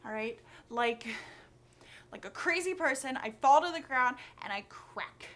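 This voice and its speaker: A woman acting a monologue as an anxious, guilt-ridden young woman who confesses in a halting, rambling, neurotic way, full of fillers. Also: sits high, animated, a bit bright. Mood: increasingly frantic. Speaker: actor. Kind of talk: acted confessional monologue, conversational. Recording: clearly noisy background ambience.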